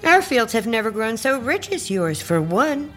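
An actress playing a sly, folksy older great-aunt in an audio drama, coaxing and condescending with sweet endearments. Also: female voice, fairly high pitch, very animated, moderate pace, faint music behind. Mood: ominous, knowing.

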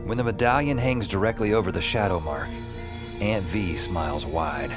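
A man's voice: sits low, moderate pace, over music, natural voice. An actor playing a weary, wry young man who narrates and lives his own supernatural adventure in an audio drama. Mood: narrating, wry.

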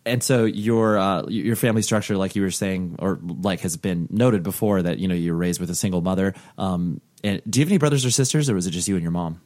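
Deep-voiced rambling male podcast host. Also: quick pace, few pauses, a little mellow, no room sound. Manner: rambling, many fillers and restarts. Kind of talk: casual interview chat.

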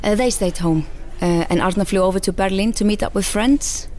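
An actress playing an easygoing café customer chatting casually in a low-key, hesitant way. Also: female voice, fairly quick, darkish tone, lightly acted. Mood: relaxed. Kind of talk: casual drama dialogue. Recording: faint café background noise.

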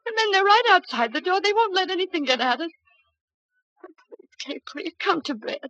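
A woman's voice: extremely high pitch, lively intonation, moderate pace, bright, forceful tone, no room sound, full character voice. An actress playing a frightened, pleading young woman in an old-time radio drama, emotional and urgent. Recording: dull vintage radio recording.

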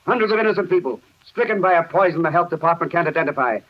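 Male actor for stern, demanding big-city mayor. Rapid, clipped delivery, vintage radio-drama sound, dull and muffled. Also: on the high side, animated, lightly acted. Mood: angry, demanding. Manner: forceful, accusing, clipped. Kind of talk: 1930s radio drama acting.

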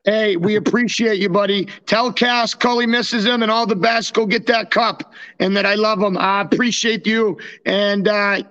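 A man's voice: high-pitched, steady tone, normal speed, a bit bright, smooth. A North American man co-hosting a sports podcast, chatting casually in an informal, buddy-like way. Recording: dull, lo-fi remote audio.

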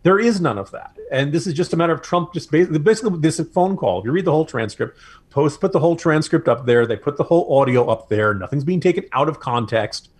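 A man's voice: fairly high pitch, lively intonation, fast talker, breathy edge. An American man co-hosting a political podcast, giving opinionated commentary in an emphatic, sardonic way with mocking asides.

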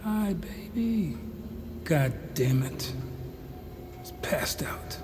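A man's voice: a little high, lively intonation, drawn-out words, background noise. An actor playing a tender grandfather soothing a small child in an audio drama. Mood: exasperated. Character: grandfather tenderly minding his baby granddaughter. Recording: long reverb, music behind.

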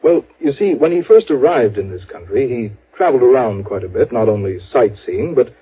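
An actor playing an anxious, proper insurance executive in a 1950s radio drama, earnest, hesitant and formal. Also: male voice, medium pitch, animated, a little fast, husky. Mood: earnest explaining. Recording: tinny vintage radio, phone-like band.

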